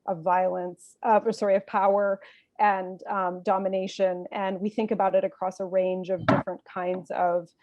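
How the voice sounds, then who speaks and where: A woman's voice: mid-range voice, flat delivery, normal speed, highs cut off. A woman professor on a panel, giving a long, earnest answer with many fillers over a video call. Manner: earnest, expansive, filler-laden.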